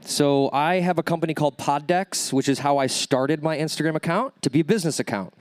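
A man's voice: fairly high pitch, a little fast, dry recording. A man with a nasal voice giving a live workshop talk, coaching the audience and checking in with them as he answers questions. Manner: energetic, coaching, checks in with "okay?" and "right?". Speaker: workshop speaker. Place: event stage.

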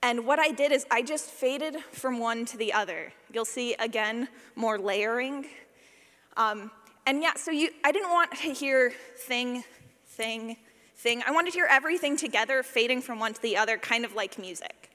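A woman's voice: a little high, moderate pace, pushed and bright, big-room sound. A woman giving an informal talk as a sound designer, chatty, playful and self-deprecating.

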